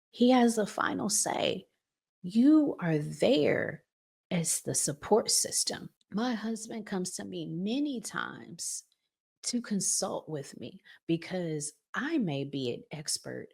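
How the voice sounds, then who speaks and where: A woman's voice: lowish voice, lively intonation, slow pace, gravelly, darkish tone, breathy edge. A woman hosting a relationship podcast, talking to viewers in an emphatic, preachy and informal way, often with rhetorical questions. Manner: informal, colloquial phrasing. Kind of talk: conversational opinion talk to viewers.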